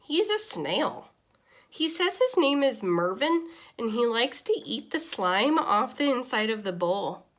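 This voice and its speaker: A woman voicing a small, easily exasperated pet goldfish narrating a diary in an expressive, deliberate children's picture-book read-aloud. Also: a little high, exaggerated voice. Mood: wry, disgusted.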